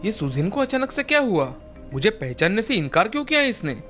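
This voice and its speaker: A man's voice: high-pitched, big pitch swings, brisk, bright, forceful tone, soft background music, full character voice. A man playing an earnest young brother turned into a deer in a children's fairy tale. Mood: confused, hurt.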